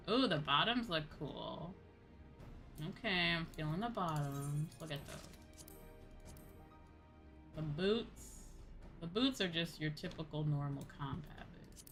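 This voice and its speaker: A woman chats casually on a game livestream in a bright, forward voice that sits a little low. Her manner is excitable and full of exclamations. Faint music plays behind her.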